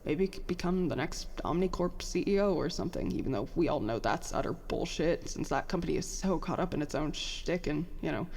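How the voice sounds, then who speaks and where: A woman playing a stressed, guarded young petty officer in a naturalistic audio drama, halting and rambling with self-deprecating words. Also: deep voice, expressive, darkish tone, big-room sound. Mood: wounded, confiding. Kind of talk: audio drama acting, naturalistic confessional dialogue.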